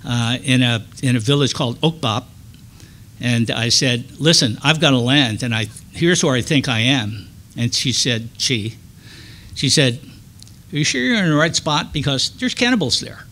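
A man's voice: mid-range voice, a bit bright. Older man telling a dry-humored story. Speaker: presenter. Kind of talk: storytelling talk to an audience. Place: stage of a large hall. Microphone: stand mic at a lectern.

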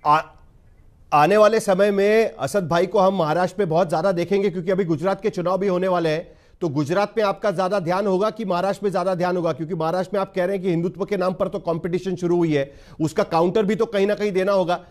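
High-pitched Indian male news anchor. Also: fairly even intonation, fast talker. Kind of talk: broadcast news interview.

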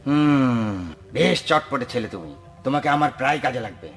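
A man playing a gruff, bossy grain merchant in a dubbed cartoon. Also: medium pitch, lively intonation, moderate pace, faint music behind, lightly acted. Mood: approving. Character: gruff adult male grain boss, bossy but approving.

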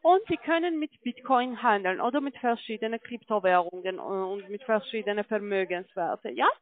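A non-native German-speaking woman with a foreign accent giving a telephone sales pitch as a call-centre agent, often checking in as she goes. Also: brisk. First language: not German. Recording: muffled, tinny phone line.

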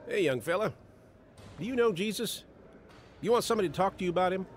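An actor playing an earnest, folksy jailhouse preacher in a radio drama. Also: male voice, sits high, expressive, fast, slight background noise. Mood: earnest, friendly.

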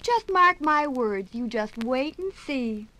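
A doting young housewife in a 1950s radio drama. Her voice is high, eager and encouraging, with faint noise from the old record. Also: expressive, slow pace, pushed and bright, exaggerated voice, low-quality recording. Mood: admiring, eager.

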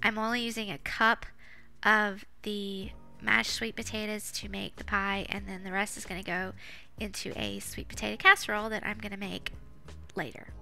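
Chatty woman doing a cooking vlog voiceover, bright voice w some fry, music behind. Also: medium pitch, little pitch movement, moderate pace. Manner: chatty, informal. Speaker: home cook, vlog host. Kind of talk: casual cooking voice-over. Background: background music.

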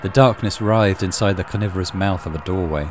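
A man's voice: deep voice, brisk, background noise. A man reading a horror visual novel aloud as a dramatic narrator for a let's play.

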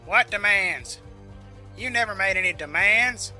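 A man's voice: high-pitched, unhurried, bright, forceful tone, soft background music, voice pushed up, heavily acted. A man voicing an alarmed police officer in a superhero audio story, with an urgent, raised delivery. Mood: commanding. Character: tense, alarmed male police officer on bullhorn and radio.

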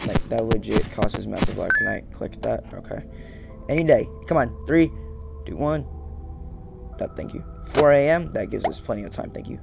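A man's voice: on the low side, moderate pace, creaky. A young man giving casual gameplay commentary, muttering to himself and repeating phrases. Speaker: gaming video host. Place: indoor room, beside a plain wall. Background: game music.

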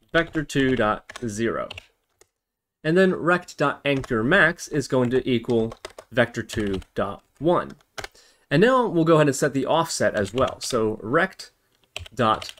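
A man's voice: medium pitch, a bit bright, a little hiss or hum. A man narrating a programming tutorial, explaining step by step and thinking aloud as he goes. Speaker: tutorial host.

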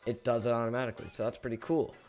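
A teenage boy streaming a game from his bedroom, chatting casually and rambling while he fiddles with his setup, his voice a bit gravelly today. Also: medium pitch, very fast, faint music behind. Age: teens. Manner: rambling, relaxed, trails off while fiddling with settings. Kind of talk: casual livestream chat. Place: small bedroom with gaming chair. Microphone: desk microphone close up.

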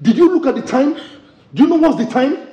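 A woman's voice: high voice, big pitch swings, a little fast, dark tone. A woman playing a domineering, jealous husband who bullies and interrogates his wife. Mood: furious. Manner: bullying, interrogating, mocking. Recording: echoey room, slightly dull with faint noise.

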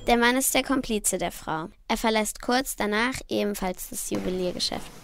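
A woman's voice: mid-range voice, moderate pace, vocal fry, fairly bright tone, slight background noise. A young girl narrating a crime radio play from a script. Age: child about 10 to 13.

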